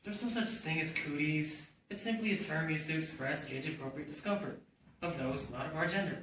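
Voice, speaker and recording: A man's voice: fairly high pitch, a little fast, pushed and bright. A man playing a well-meaning, hapless father in a readers theater performance. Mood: matter-of-fact. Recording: very echoey room, distant mic, faint noise.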